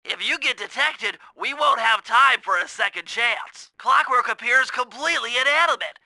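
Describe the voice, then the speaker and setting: Give this game character a very high, piercingly bright voice, a nerdy young male turtle tech whiz. He is fussy, precise and anxious, with a nasal edge. The sound is dry and close. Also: moderate pace, dry recording, exaggerated voice. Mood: earnest, instructive. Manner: precise, fussy, technical briefing delivery. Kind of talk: video game voice acting.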